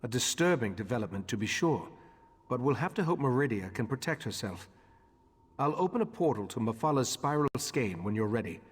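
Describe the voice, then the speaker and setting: An actor playing a calm, grave mystic leader in a fantasy video game, speaking in a measured, formal way. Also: male voice, mid-range voice, husky, in a large hall. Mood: grave, composed.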